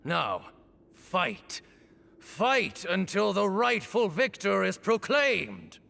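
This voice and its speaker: A man's voice: sits high, very animated, extremely slow, creaky, pushed and bright, full character voice. A man playing a stern, formal duel arbiter in a video game, speaking in a ceremonial, commanding manner.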